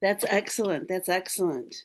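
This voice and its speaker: A woman hosting a team video call, upbeat and encouraging, a little hoarse and clearing her throat often. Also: on the low side, moderate pace. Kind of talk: casual team meeting talk.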